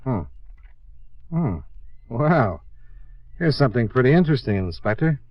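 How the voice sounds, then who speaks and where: An actor playing a dogged, wry insurance investigator in a hard-boiled 1950s radio drama, deadpan and terse. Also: male voice, medium pitch, measured pace, dry recording, close to an everyday voice. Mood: probing. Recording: muffled old radio recording.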